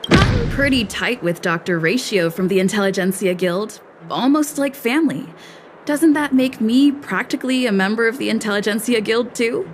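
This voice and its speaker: A woman's voice: fairly high pitch, animated, moderate pace, background noise, natural voice. A woman voicing a cool-headed, cheeky young negotiator in a video game, composed, confident and persuasive.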